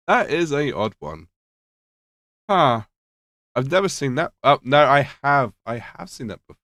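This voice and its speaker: A man's voice: mid-range voice, a bit bright, dry recording. Guy losing his voice, bit nasal, sarcastic, swings up and down a lot, dry close mic. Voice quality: slightly nasal. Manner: sarcastic, swears, mock-questioning asides. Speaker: YouTube commentator reacting to a video. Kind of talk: casual reaction commentary.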